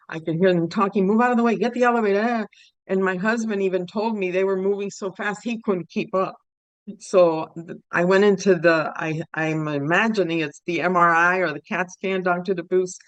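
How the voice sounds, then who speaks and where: A woman's voice: mid-range voice, no echo, low-quality recording. Chatty woman from cuba telling her own story, casual, close dry sound. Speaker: stroke survivor guest.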